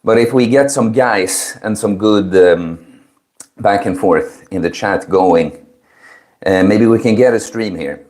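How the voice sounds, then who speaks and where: A man in his early thirties with a low-pitched voice, talking slowly to the viewers of his livestream. His delivery is chill and low-key, like an easygoing, informal chat. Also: darkish tone. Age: early 30s. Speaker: livestream host. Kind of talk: casual chat with live stream viewers.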